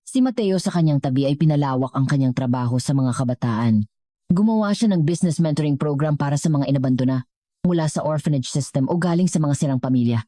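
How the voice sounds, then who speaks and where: Female story narrator, Filipino accent. Low, relaxed voice, earnest measured read, dry sound. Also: dry recording.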